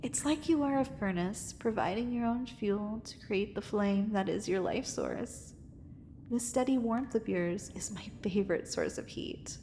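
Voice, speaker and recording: A possessive mermaid, acted by a woman, speaks in a long, cavernous reverb over faint noise. Her delivery is very slow, formal and coaxing. It is an intimate ASMR romance roleplay, tender with a dangerous edge. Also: mid-range voice, mellow, natural voice. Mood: tender, fascinated.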